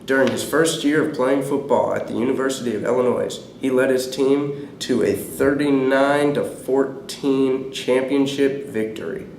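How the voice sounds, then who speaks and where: A man's voice: medium pitch, moderate pace, few pauses, darkish tone. A teenage male reading scripted sports news as a student presenter, recorded in an echoey room with noise. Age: teens.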